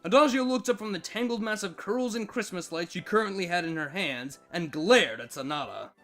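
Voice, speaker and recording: A man narrating a scripted story with dramatic, expressive delivery. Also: high voice, fairly quick. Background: background music.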